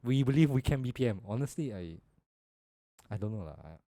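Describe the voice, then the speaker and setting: A man's voice: medium pitch, lively intonation, fairly quick, dark tone, no room sound. Malaysian man, dry close podcast sound. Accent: Malaysian English. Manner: colloquial, sprinkles Malaysian particles. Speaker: podcast co-host. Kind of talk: casual chat.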